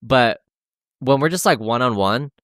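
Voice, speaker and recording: Young guy podcast host just rambling about his love life, lots of likes, mid-pitched voice, dry room. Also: animated, unhurried, a bit bright, no echo. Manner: rambling and candid, heavy use of "like", with frequent restarts. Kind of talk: casual chat about dating.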